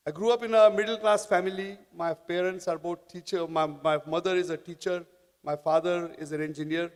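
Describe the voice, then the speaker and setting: A man, giving a conference talk in a halting way with many restarts. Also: sits high. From India. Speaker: panel speaker. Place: large conference hall. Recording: long hall reverb.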